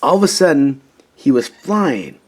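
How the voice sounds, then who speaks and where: Animated man reading a children's story, husky voice. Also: on the high side, unhurried. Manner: animated, emphatic, dramatizing story moments. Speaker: storybook reader. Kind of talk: expressive children's read-aloud narration.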